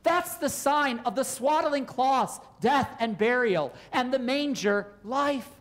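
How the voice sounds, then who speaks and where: A man, a pastor preaching a sermon in a deliberate, explanatory way with rhetorical questions. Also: extremely high pitch, lively intonation, a bit bright. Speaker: Lutheran pastor. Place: church, at a pulpit indoors.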